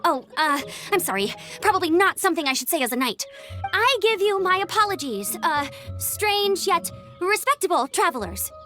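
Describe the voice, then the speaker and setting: A woman's voice: very high-pitched, very animated, moderate pace, sharp tone, full character voice. A woman voicing an upbeat, dutiful young female knight in a video game, speaking in an earnest, eager and slightly formal way. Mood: apologetic. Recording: music behind the voice.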